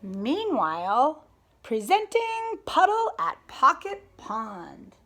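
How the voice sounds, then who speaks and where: A woman reading a picture book aloud to a child in an expressive, sing-song storytelling way. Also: high-pitched, slow pace. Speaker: parent reading along with child.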